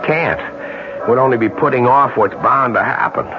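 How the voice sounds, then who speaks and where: An actor with an American accent playing an earnest, determined innkeeper husband in an old-time radio drama. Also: male voice, medium pitch, moderate pace, close to an everyday voice. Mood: resolute. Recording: muffled radio recording, faint noise.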